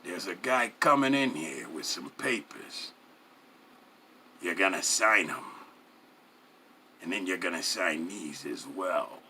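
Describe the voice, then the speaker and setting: Man playing a slow, low-voiced menacing mob boss. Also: expressive, sharp tone, slight background noise, heavily acted. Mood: commanding. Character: menacing mafia boss, coaxing, in quiet control. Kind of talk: dramatic story reading.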